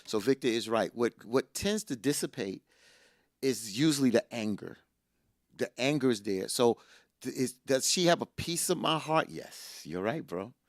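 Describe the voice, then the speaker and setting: Middle-aged man telling an animated story. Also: medium pitch, normal speed, no room sound, clean studio sound. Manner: animated storyteller, repeats lines for emphasis. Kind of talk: casual chat, personal storytelling.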